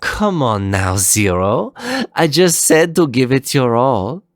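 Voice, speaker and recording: A haughty warrior woman of a robot, acted by a male narrator, pitched well above his own speech. She teases and scolds theatrically, with lively swoops suited to an action story. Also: slow, dry recording, clean studio sound. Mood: teasing. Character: haughty, teasing female robot warrior with a harpoon.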